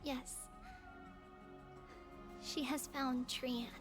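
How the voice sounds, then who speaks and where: A gentle, thoughtful young woman in a game, with a high, soft voice. Game music plays clearly behind her. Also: fairly even intonation. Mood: somber. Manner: gentle, measured storytelling.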